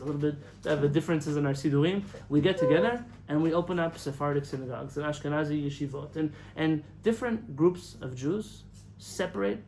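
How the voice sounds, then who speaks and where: A young man from the United States giving a lively lecture as a rabbi, telling stories, acting out quotes and laughing at his own jokes. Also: on the high side, slight background noise. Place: lecture hall in front of an audience. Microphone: camera recording of a live talk.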